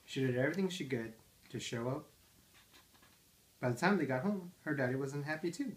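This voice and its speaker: A man's voice: mid-range voice, lively intonation, a little fast, a little hiss or hum. A father reading picture books to his toddler at home, playful and coaxing, asking her questions about the pages. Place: living room armchair at home.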